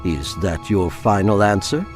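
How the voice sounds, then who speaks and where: An actor playing a stern, ominous alien agent in an audio drama, speaking in a grave, measured, portentous manner. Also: male voice, deep voice, faint music behind. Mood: grave warning.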